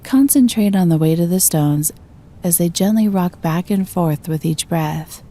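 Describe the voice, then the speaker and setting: A woman gently guiding a meditation, giving slow, soothing instructions. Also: lowish voice. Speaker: meditation guide. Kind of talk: guided meditation.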